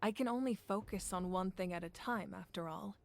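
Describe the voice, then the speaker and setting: A woman playing a calm, commanding ruler of a fire nation who speaks in a composed, measured, earnest way. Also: medium pitch, creaky, a little hiss or hum, close to an everyday voice. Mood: steady. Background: game music.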